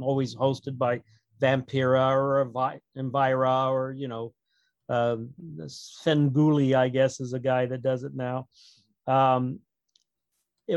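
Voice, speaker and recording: A man talks casually as an author being interviewed. His manner is wry and rambling, like someone telling stories off the cuff. The voice sits at a middling pitch, recorded dry with very little room sound. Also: a little mellow, no room sound. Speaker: author, podcast guest. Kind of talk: casual interview chat about own writing.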